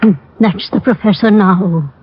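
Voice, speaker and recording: An actress playing a polite, shifty female assistant to a fake medium in old radio drama, measured and formal. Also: mid-range voice, husky. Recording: dull noisy old radio recording.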